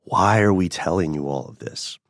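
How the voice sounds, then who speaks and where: Deep-voiced animated male podcast host. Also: moderate pace, creaky, dry recording, clean studio sound. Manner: animated, rising pitch when excited, frequent "right?" check-ins. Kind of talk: casual conversational storytelling.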